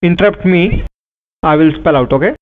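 A man's voice: sits high, moderate pace. A man posing as an officer on a phone call, speaking in an insistent, formal way and repeating his instructions. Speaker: scam caller posing as an officer. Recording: tinny phone line.

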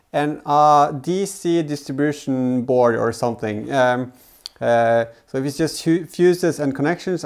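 A man's voice: fairly high pitch, darkish tone, smooth. A man explains things casually in a vlog, hesitantly and often repeating words. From Norway. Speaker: vlogger, DIY car channel host. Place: home garage workshop, indoors.

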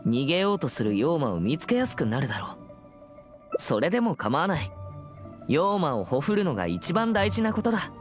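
A man playing an earnest, serious teenage boy exorcist in a Japanese video game, speaking in a formal, measured manner. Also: a little high. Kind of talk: scripted Japanese game voice acting.

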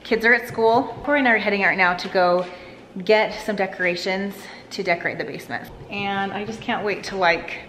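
A woman's voice: mid-range voice, a little fast, fairly bright tone, slight background noise, low-quality recording. A middle-aged American woman chatting casually to viewers, informal and thinking aloud as she goes. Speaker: family vlogger.

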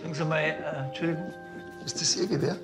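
A man's voice: on the high side, fairly even intonation, fast, over loud music, close to an everyday voice. An actor playing a dry, blunt, impatient policeman with a Bavarian accent in a TV crime comedy. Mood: probing.

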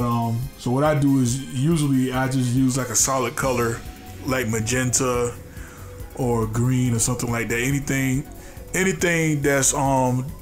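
A congested man with a nasal voice hosts a casual drawing tutorial, talking loosely with restarts and fillers. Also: medium pitch. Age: adult, a father. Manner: loose and repetitive, restarting phrases, many fillers. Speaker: art tutorial host.

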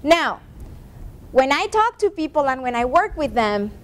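A woman in her forties with a high voice leads a professional development workshop in a classroom. She talks conversationally, checking in with the audience with a quick "right?", and is picked up by a camera across the room. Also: sing-song. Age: 40s. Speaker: workshop presenter. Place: classroom with a seated audience, projector screen. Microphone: far room camera.

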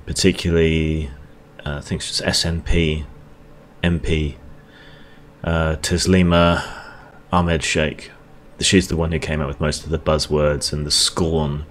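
A man with a very low, deep voice talks unscripted to his camera, weary and halting, often pausing on ums and starting his sentences over. A faint hum of room noise sits under him. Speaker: video blogger. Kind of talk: unscripted reaction monologue.

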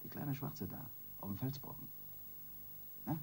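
An actor playing a seasoned, sardonic police detective in a radio crime drama, talking colloquially and dryly. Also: male voice, mid-range voice, unhurried, dark tone, a little hiss or hum, lightly acted, poor audio. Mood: musing. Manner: colloquial, dry, musing, with tag questions.

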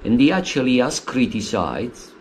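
A man's voice: moderate pace, slight background noise. A man in his forties with an Italian accent, giving opinionated football commentary from his living room with many hesitant fillers. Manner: hesitant, many uh fillers, animated pitch swings. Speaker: football fan vlogger. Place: living room at home, indoors.

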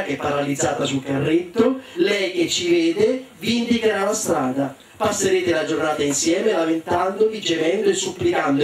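In a very echoey room, a man acts out a wicked storybook mother, greedy and scheming. She gives orders in a highish voice, leaning hard on her words. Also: close to an everyday voice. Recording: echoey room, distant mic, faint noise.

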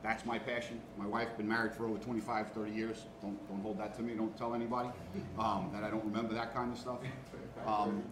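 A man's voice: fairly low pitch, little pitch movement, a little fast. A man in his fifties with a Queens, New York accent, giving a casual talk to students as a guest engineer and checking in often with the room. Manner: conversational, keeps checking in with "all right?" and "okay?". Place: large classroom, indoors. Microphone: far room camera. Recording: echoey classroom from far off, noisy.

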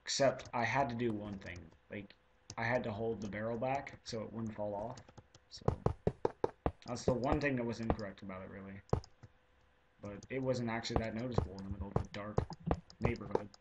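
A hoarse teenage boy rambling casually while building in a game. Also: on the low side, fairly even intonation, fairly quick. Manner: rambling, chatty, self-interrupting. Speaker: video creator. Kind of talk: casual gameplay commentary. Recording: noisy home recording.

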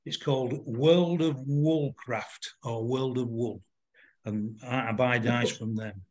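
An older man with a Yorkshire accent talks through a hands-on demonstration on a video call. He explains informally, with some hesitation, in a mid-pitched voice. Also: fairly even intonation, takes it slowly. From Yorkshire, England. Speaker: fly-tying demonstrator. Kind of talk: informal demonstration with questions and answers.

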